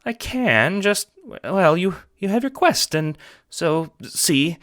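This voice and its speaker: A man voicing a doting, jovial but easily flustered elderly grandmother in an audio drama, with a gentle, fussy manner. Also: high voice, big pitch swings, slow, dry recording, studio quality, pitched above the performer's own voice, full character voice.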